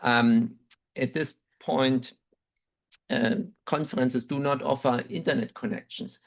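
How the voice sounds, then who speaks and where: A man's voice: medium pitch, fairly even intonation, creaky. German-accented man telling a story. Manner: measured, reflective, with frequent ums. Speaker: webinar speaker, dental researcher. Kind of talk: personal storytelling talk.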